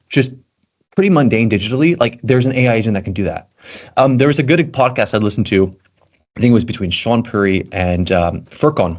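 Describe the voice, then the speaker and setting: A man's voice: fairly low pitch, darkish tone. A man from Belgium with an American accent, chatting eagerly as a podcast guest and filling his talk with "like". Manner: loose and eager, heavy on fillers like "like". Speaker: guest, tech content creator. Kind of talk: casual podcast chat.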